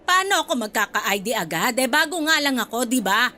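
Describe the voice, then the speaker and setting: A woman's voice: a little high, very animated, fairly quick, pushed and bright, a little hiss or hum. An actress in a Filipino radio drama playing an indignant woman near sixty, a new college freshman who is combative, exasperated and demanding. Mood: angry, insistent.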